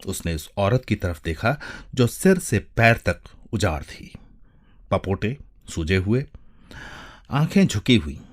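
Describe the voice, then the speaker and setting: A man giving a dramatic, measured narration of a short story, with deliberate pauses. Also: fairly low pitch, sing-song. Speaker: voice-over narrator.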